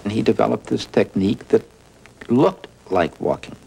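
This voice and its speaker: A man's voice: fairly low pitch, expressive, normal speed, vocal fry, dark tone, husky, poor audio. A man telling a story conversationally, as an interviewee in a documentary.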